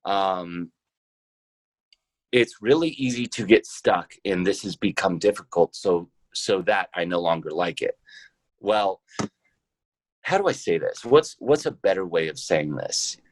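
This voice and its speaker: A man's voice: low-pitched, lively intonation, a little slow, long pauses, poor audio. A man speaking as an interview guest, casual and reflective, hesitantly thinking his answers through aloud. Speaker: podcast guest, show creator.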